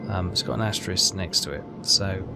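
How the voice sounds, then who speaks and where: A man's voice: sits low, a little fast, vocal fry, loud music behind the voice. A man hosting a casual game walkthrough, hesitant and rambling as he thinks aloud. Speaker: gaming video host.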